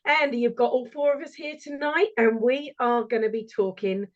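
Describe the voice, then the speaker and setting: A woman's voice: a little high, moderate pace, lo-fi sound. A woman co-hosting a podcast, chatty and cheeky, who opens the episode for listeners. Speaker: podcast co-host. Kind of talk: casual banter, then opening the episode.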